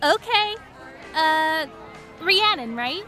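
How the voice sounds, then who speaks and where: A woman's voice: high-pitched, lively intonation, very slow, bright, forceful tone, faint music behind, some chatter behind, heavily acted. A woman playing a giddy, wide-eyed young party girl in an audio drama, speaking hesitantly. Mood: awed, hesitant. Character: giddy, awed teenage party girl dressed as witch.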